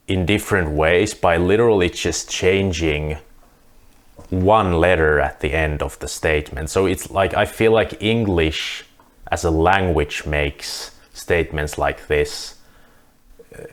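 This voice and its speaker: A Finnish man speaking English as a second language, earnestly talking through his views to camera with frequent restarts. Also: deep voice. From Finland. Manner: earnest, thinking aloud with restarts. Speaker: vlogger. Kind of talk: casual monologue to camera.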